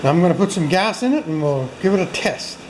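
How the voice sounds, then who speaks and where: A man's voice: sits high, lively intonation, fast, noisy background. A man hosting a workshop video, chatting casually and joking with viewers while he works in a garage. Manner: chatty, self-mocking, talks to viewers while working.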